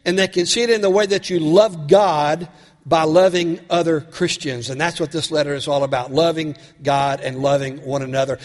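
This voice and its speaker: A male pastor, raspy from a cold, preaching a sermon with earnest questions to his congregation. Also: a little high, in a large hall.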